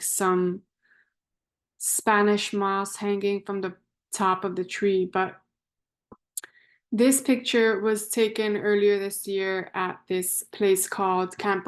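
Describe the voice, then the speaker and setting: A young woman from Miami introducing herself to a class in a casual, rambling way that often corrects itself. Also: medium pitch. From Miami, Florida. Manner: rambling, self-correcting, conversational.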